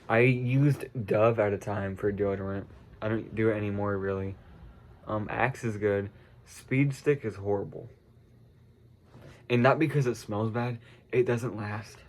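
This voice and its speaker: A man's voice: on the low side, darkish tone. A congested teenage boy chatting casually to camera, rambling with lots of fillers and self-corrections. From United States. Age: teens. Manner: meandering, heavy use of "like" and "um", frequent self-corrections. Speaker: vlogger.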